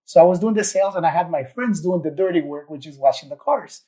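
A man's voice: on the high side, quick pace, dark tone. A man from Ecuador, a podcast guest, telling his story in an upbeat, enthusiastic way. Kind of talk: casual interview chat.

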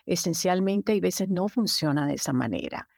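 Low-voiced Colombian woman in her fifties. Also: expressive, vocal fry, a little mellow, dry recording, studio quality. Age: 50s. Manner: measured, thoughtful, with frequent hesitations. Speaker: guest, immigration agency director. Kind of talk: interview answers.

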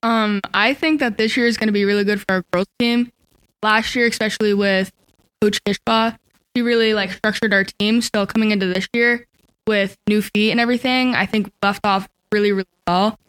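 A woman from the swim team answers interview questions, pausing with fillers. Her voice is smooth and clean. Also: medium pitch, steady tone, a bit bright, poor audio. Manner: hesitant with fillers.

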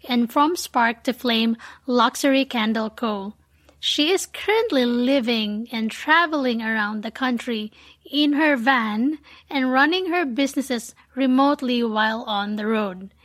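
Higher-pitched woman hosting a podcast. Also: a bit bright. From Philippines. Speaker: podcast host. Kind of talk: podcast introduction and interviewing.

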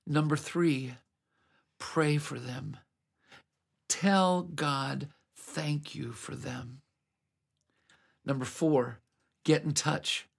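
A man hosting a devotional program, reading a scripted message in an earnest, reflective, unhurried way. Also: a little high, gravelly, breathy edge.

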